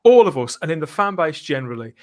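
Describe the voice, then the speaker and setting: A man's voice: on the high side, big pitch swings, fast. A British man hosting a live fan podcast discussion, speaking in an emphatic, earnest and rhetorical way. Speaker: regular podcast host.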